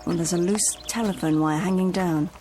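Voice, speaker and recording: A woman's voice: fairly low pitch, fairly even intonation, moderate pace, dark tone, slight background noise, lightly acted. An actress playing a fussy, fretful woman who looks after an invalid in a vintage television drama. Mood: wary, curious. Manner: scolding, fretful. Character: fussy, exasperated female companion to an invalid. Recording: vintage TV soundtrack, faint music behind.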